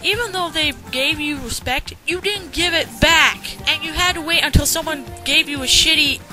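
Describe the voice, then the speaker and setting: A woman's voice: high-pitched, moderate pace, over music. A woman giving blunt, sarcastic and profane commentary while a journal is read aloud. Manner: blunt, sarcastic, swears freely.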